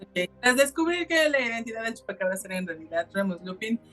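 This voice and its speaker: A woman's voice: fairly high pitch, big pitch swings, fast, a bit bright, soft background music. A woman hosting a casual podcast and addressing the listeners directly. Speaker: podcast host.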